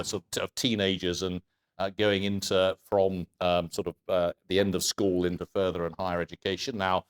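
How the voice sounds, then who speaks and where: Deep-voiced man, halting delivery. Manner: hesitant, many fillers and restarts. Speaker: economist panelist. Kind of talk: panel discussion.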